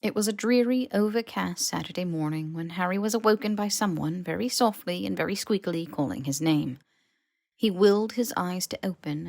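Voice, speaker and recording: A woman reading fiction as a scripted audiobook narration, in an expressive, wry storytelling manner. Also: lowish voice, gravelly, dry recording, studio quality. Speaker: podfic narrator.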